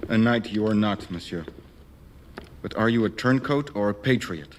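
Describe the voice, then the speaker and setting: Low, courtly marquis played by an actor. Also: male voice, moderate pace, a little hiss or hum, lightly acted. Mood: probing. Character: probing, courtly French marquis. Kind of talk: dramatic video game cutscene acting. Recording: faint game ambience behind the voice.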